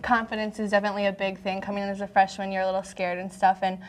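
A woman's voice: mid-range voice, flat delivery, rapid-fire. Young woman, college basketball guard, casual interview answers, frequent "um" and "and stuff". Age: around 20. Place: small TV studio, indoors.

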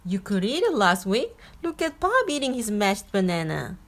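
A woman's voice: mid-range voice, sing-song, moderate pace. A woman playing a weary, patient mother of a small child in storybook dialogue. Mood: weary reproach.

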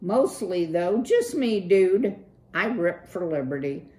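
A woman's voice: fairly low pitch, expressive, slow pace, a little mellow, voice pushed up. A woman voicing a cool, slang-talking young male city mouse with a laid-back, breezy drawl. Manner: laid-back surfer slang, keeps saying "dude".

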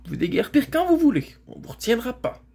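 Cheeky storybook runaway boy, blunt, excitable, very husky voice, put on by a reader. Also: mid-range voice, fast, full character voice. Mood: defiant.

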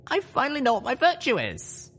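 Man voicing Littlepip, high-pitched earnest young mare. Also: wildly swooping pitch, a little fast, fairly bright tone, breathy edge, full character voice. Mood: sad, resigned. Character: Littlepip, young female pony, earnest, selfless, tearful heroine.

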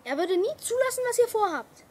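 A woman playing an eager, cheeky young boy in a children's radio play, excitable and outspoken. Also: very high voice, brisk, a little hiss or hum.

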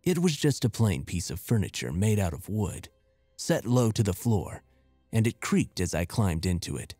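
A man reading a scripted horror story in a measured, deliberate and expressive way. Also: fairly low pitch, no echo. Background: music.

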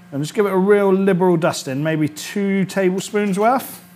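Higher-voiced British man giving cooking instructions. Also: a little mellow, slight background noise. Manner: chatty, instructional, often checks with "all right?". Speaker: chef presenting a cooking series. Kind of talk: informal cooking demonstration. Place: indoor kitchen studio set.

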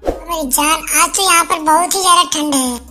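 A man voicing an affectionate cartoon stick figure, with a childlike, pitch-raised voice effect. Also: normal speed, pushed and bright, faint music behind, exaggerated voice. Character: affectionate cartoon stick-figure couple chatting about cold weather.

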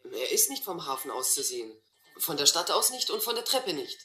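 A cheeky vagabond boy in a radio drama. He is quick-witted and bluffs freely, in a bright, forward voice with a roomy sound. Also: on the high side, very animated, faint music behind, full character voice. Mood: brazen bluffing. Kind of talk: German radio drama acting.

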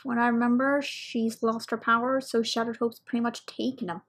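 Woman, slightly high voice, casual reaction commentary, online host. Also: flat delivery, normal speed. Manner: hushed, keeping quiet for a sleeper.

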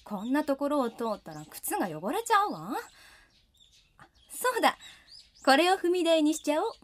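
A woman's voice: sits high, sing-song, moderate pace. An actress playing a vain, cruel and haughty young girl in a radio drama. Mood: self-absorbed.

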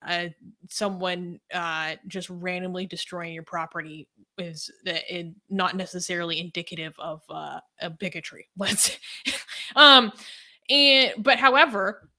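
A woman's voice: lowish voice, fairly even intonation, a little slow, bright, forceful tone. A young woman talking through a news story casually, hesitant with many ums and likes, on a video call from home. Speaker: co-host.

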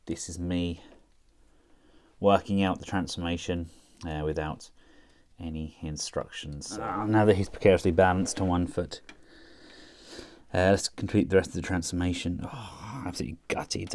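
Low-voiced guy chatting thru a toy transformation, lots of uhs, laid back. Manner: relaxed, chatty, thinking aloud with many fillers. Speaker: toy reviewer. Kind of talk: hands-on toy review, demonstrating a transformation.

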